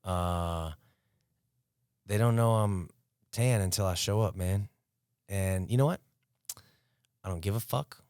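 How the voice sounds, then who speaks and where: A man's voice: low voice, slow, pauses often, darkish tone, clean tone, no room sound, clean studio sound. An American man co-hosting a podcast, talking in a laid-back, casual way and swearing freely. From United States. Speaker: podcast co-host. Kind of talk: casual chat.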